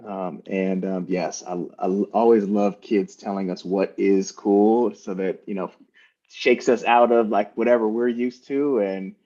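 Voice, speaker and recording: Low-voiced man over dull call audio. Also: measured pace. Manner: relaxed, musing, trailing off softly. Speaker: panelist, parent. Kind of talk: casual panel chat.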